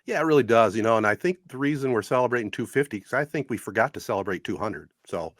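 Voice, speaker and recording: A man's voice: medium pitch, lively intonation, quick pace, no echo, studio quality. A man hosting a podcast in a casual, conversational style. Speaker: podcast host.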